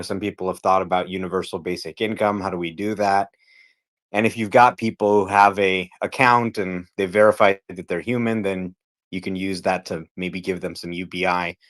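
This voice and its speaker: A man's voice: low voice, fairly even intonation, moderate pace, fairly bright tone. A man speaking as a panel guest, explaining things casually in plain terms.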